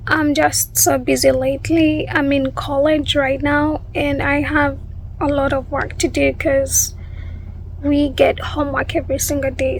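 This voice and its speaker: A woman's voice: sits high, slight background noise, rough recording. A young woman from Uganda with a Ugandan accent, talking casually to her viewers as a vlogger. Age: young adult. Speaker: YouTube vlogger.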